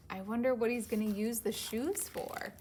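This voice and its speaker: A woman's voice: on the high side, darkish tone, a little hiss or hum. A woman hosting a library story time, reading a picture book aloud and chatting casually to viewers.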